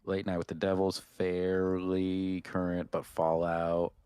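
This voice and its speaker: Very deep-voiced man. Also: monotone, slow, dark tone, smooth, dry recording, clean studio sound. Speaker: podcast co-host. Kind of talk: casual chat.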